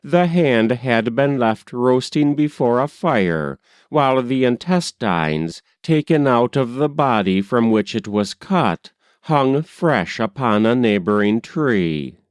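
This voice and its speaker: A man reading a historical memoir aloud as an audiobook narrator, in a measured, formal storytelling manner. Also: mid-range voice, big pitch swings, clean tone, no echo, studio quality. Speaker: audiobook reader.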